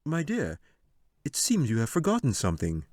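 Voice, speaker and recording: Storybook villain wizard male reader in character: soft-spoken, smug, mock-polite menace, dry studio sound. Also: mid-range voice, wildly swooping pitch, normal speed, dry recording, pitched above the performer's own voice. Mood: mocking concern.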